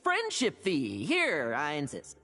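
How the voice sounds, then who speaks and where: A woman's voice: sits low, wildly swooping pitch, slow pace, pushed and bright, in a large hall, faint music behind, exaggerated voice. A woman playing a cheeky, evasive young knight in a game, with a breezy, glib manner. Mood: scheming, playful. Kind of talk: game voice acting.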